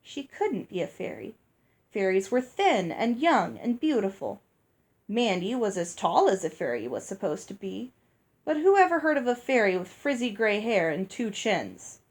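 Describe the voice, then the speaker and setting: A woman's voice: medium pitch, very animated, moderate pace. A woman reading a novel aloud in a plain, unpolished way, with the odd slip. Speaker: reader.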